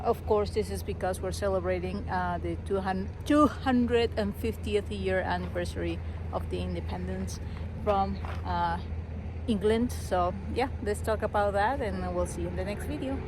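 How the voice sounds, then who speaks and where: A woman's voice: medium pitch, normal speed. A woman in her fifties, chatting loosely in bursts while she jogs outdoors. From Chile. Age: 50s. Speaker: vlogger host. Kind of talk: casual chat while running. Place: outdoors beside a highway. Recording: outdoor traffic noise.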